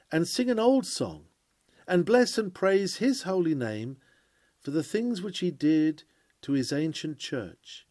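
Earnest man reading a sermon, mellow voice. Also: on the high side, no room sound. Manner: earnest, oratorical, exhorting. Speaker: sermon reader. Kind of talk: dramatic reading of a 19th-century sermon.

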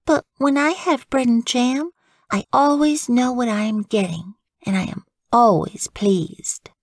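A woman's voice: fairly high pitch, a little slow, no echo, studio quality, voice pushed up. A woman voicing a young badger girl in a storybook, plaintive and stubborn, childlike and given to little songs. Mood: matter-of-fact. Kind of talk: storybook character voice.

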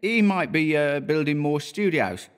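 A man's voice: a little high, animated, unhurried, clear, resonant tone, pitched above the performer's own voice. A man voicing a young Cockney bellboy who talks deferentially, adding sir to every line. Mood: eager. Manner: deferential, keeps saying sir.

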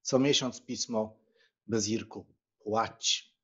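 A man playing a frank, teasing young Polish country squire in an animated, expressive audiobook performance. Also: on the low side, slow, slightly rough, lo-fi sound, heavily acted. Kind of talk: dramatised audiobook acting.

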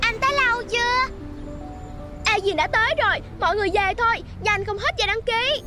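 A woman voicing a clever, eager little child in a children's cartoon, brisk and explanatory. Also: extremely high pitch, music in the background. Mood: excited.

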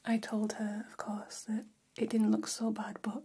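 Casting: gossipy vampire salon stylist woman acting, ASMR roleplay, very breathy whisper, slow and gentle. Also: medium pitch, completely flat delivery, exaggerated voice. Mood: gossipy, confiding. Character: gossipy female vampire hairstylist, attentive and chatty. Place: small decorated room, indoors.

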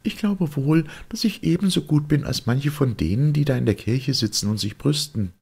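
A man's voice: a little high, moderate pace, vocal fry, a little mellow, breathy edge, voice pushed up. A man voicing a poor, pious young girl in a fairy tale, pleading earnestly. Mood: weary, defiant. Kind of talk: fairy-tale audiobook character voice.

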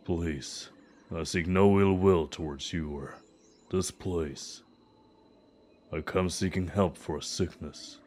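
A man playing a desperate, grieving father who pleads humbly and haltingly in a fantasy audio drama. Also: low voice, fairly even intonation, a little mellow. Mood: pleading. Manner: slow, halting, earnest pleading.

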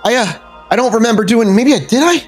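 A man's voice: very high-pitched, fast talker, breathy edge, soft background music, voice pushed up. A man voicing an eager, unhinged, theatrical vampire performer in a tabletop game, gushing thanks and repeating himself. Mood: flustered, welcoming. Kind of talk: in-character tabletop roleplay.

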